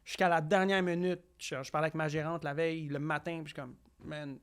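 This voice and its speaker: A young man with a Quebec French accent, a comedian telling stories on a podcast. He acts out quotes and talks in quick bursts with restarts. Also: on the high side, little pitch movement, clear, resonant tone. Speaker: comedian guest. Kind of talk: casual podcast interview storytelling.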